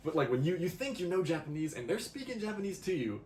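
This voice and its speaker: A man's voice: high voice, very animated, fast, darkish tone. A young man co-hosting a podcast, easygoing and agreeable in casual chat. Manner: easygoing, agreeable, laughs often. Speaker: podcast co-host. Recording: roomy echo, faint ambient noise.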